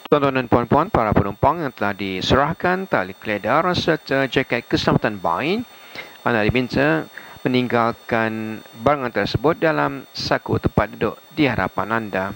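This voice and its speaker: A man with a Malaysian accent reading formal cabin crew announcements in a halting way. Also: medium pitch, animated, gravelly, a bit bright. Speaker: airline cabin crew member. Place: aircraft cabin, over the PA. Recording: faintly noisy aircraft cabin announcement audio.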